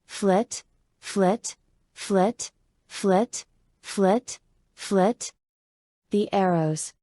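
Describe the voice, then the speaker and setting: Female audiobook narrator, medium pitch, soft dark timbre, conversational first-person storytelling for a young adult adventure. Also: extremely slow, no echo, studio quality.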